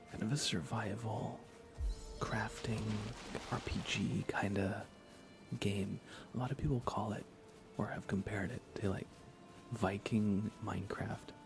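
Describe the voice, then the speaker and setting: A man hosting an ASMR gaming livestream, speaking in a breathy, hushed, reassuring whisper with some vocal fry. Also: lowish voice. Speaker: livestream host.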